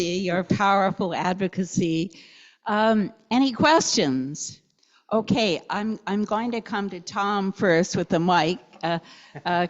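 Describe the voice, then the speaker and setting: A woman moderating the audience questions, polite and slightly hesitant. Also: medium pitch. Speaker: event moderator. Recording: dull lo-fi recording.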